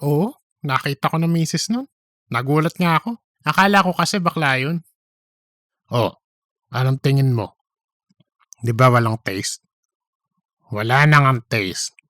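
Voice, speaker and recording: A man's voice: medium pitch, a little slow, pauses often, fairly bright tone, no echo, studio quality, natural voice. A man playing a boastful, philandering office worker angling to be manager in a comedic audio story, gossipy and repetitive. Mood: cocky, scornful. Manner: cocky, gossipy, repeats himself.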